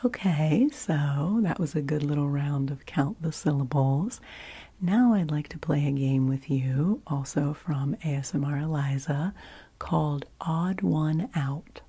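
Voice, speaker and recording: A woman whispering softly in ASMR style, gently and patiently giving instructions and repeating phrases as a host. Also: deep voice, measured pace, no echo. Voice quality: breathy whisper. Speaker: host of a relaxation ASMR show. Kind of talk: whispered ASMR, leading word games.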